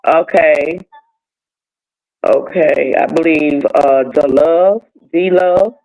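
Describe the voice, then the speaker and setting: A woman's voice: fairly low pitch, low-quality recording. A woman, co-hosting a casual live-stream chat and asking simple questions while checking the chat. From North Carolina. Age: 50s. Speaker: guest co-host.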